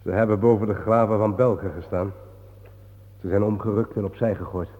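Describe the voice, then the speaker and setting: An actor playing a grave, world-weary man guiding his friend through war cemeteries in a solemn, measured, sardonic radio-play manner. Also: lowish voice, fairly even intonation, close to an everyday voice. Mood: somber. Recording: muffled phone-like old radio recording, reverberant.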